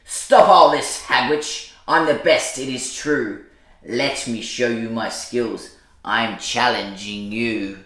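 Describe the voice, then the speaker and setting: A man's voice: medium pitch, steady tone, slow, fairly bright tone, reverberant. A man voicing a wicked old male wizard who boasts and challenges, in a storybook read-aloud. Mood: boastful.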